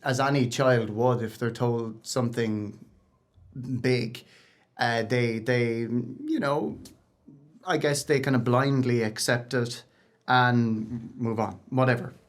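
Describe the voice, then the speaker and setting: A man in his thirties from Ireland, talking as a guest in a relaxed interview. His pitch sits in an ordinary middle range. He tells stories in a chatty way and often backs up to restart a sentence. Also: slow pace. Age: 30s. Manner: chatty and storytelling, with frequent restarts and laughs. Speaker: guest singer. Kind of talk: casual interview chat.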